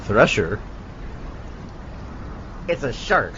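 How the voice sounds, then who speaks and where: A man acts out an eager young shark scientist with playful, cocky banter. His voice is mid-pitched and unhurried, with stammered repeats. It is an amateur audio drama on a dull recording with noise behind. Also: sing-song, a bit bright, heavily acted.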